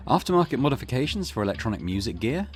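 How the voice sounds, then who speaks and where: A man's voice: mid-range voice, sing-song, rapid-fire. A man hosting a let's play, reading visual novel text aloud expressively with casual comments. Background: loud game music.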